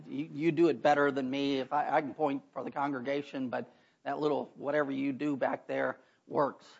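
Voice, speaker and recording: A hoarse man preaches a sermon in a church, his voice gravelly and rough. He talks in a folksy way and breaks off for chatty asides. The recording is dull and lo-fi. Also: fairly high pitch. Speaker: pastor. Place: church sanctuary.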